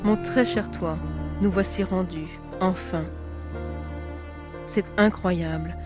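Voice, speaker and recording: A woman's voice: on the low side, fairly even intonation, slow pace. An actress playing a settler woman reading a tender, lyrical letter to her love in a period drama voice-over. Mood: wistful. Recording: muffled recording with music behind.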